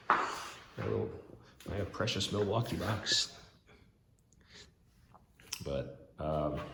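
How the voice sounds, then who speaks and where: A man talking through his garage tool-storage setup in a casual, halting way with many ums and restarts, his voice going hoarse, filmed on a handheld phone. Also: extremely low pitch, fairly bright tone, roomy sound, slight background noise. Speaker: DIY garage vlogger. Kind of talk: casual rambling walkthrough. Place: home garage. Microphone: handheld phone camera.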